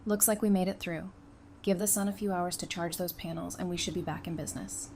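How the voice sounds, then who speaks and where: A woman's voice: lowish voice, little pitch movement, brisk, darkish tone, slight background noise, natural voice. A woman playing a level-headed, supportive, teasing wife and mother in an audio drama, speaking frankly and conversationally. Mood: thoughtful, frank.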